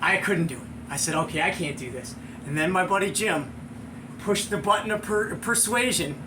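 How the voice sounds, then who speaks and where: A middle-aged American man hosting and telling a casual, slangy anecdote, excitable and acting out the sound effects. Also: high voice, moderate pace, noisy background. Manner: excitable, slangy, chuckling storyteller. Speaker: show host and storyteller.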